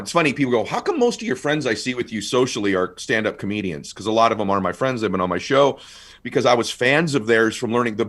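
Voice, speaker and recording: Animated middle-aged man, casual talk. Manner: animated, quick storyteller, rising to excited highs. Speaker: guest speaker. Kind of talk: casual conversation.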